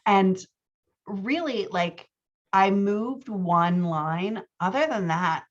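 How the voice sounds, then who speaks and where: A woman's voice: medium pitch, very slow, lo-fi sound. A woman working as a writing coach and podcast host, giving casual, encouraging feedback in conversation. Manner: encouraging, affirming.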